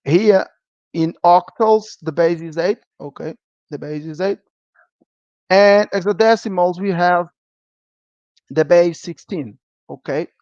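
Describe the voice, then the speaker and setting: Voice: medium pitch, slow pace, long pauses, a little mellow, no room sound. Teacher doing a live coding lesson, says okay? a lot, dull dry recording. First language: Portuguese. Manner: checks in with a frequent "okay?". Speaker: programming teacher.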